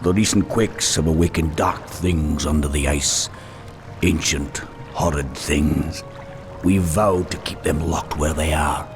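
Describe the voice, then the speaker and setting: An actor playing a stubborn male dwarf gatekeeper who gives slow, stern warnings. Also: extremely low pitch, fairly even intonation, over music.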